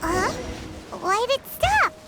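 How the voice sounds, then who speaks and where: A woman playing a small, excitable, childlike fairy companion in a video game, with a squeaky voice and an exclamatory, bossy manner. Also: slow, a little hiss or hum, exaggerated voice. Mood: eager, curious. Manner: exclamatory, refers to herself in third person. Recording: game music behind the voice.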